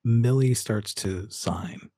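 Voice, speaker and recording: A man running a tabletop horror game as game master, narrating dramatically with suspenseful, deliberate phrasing. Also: mid-range voice, background noise. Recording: music bed under the voice.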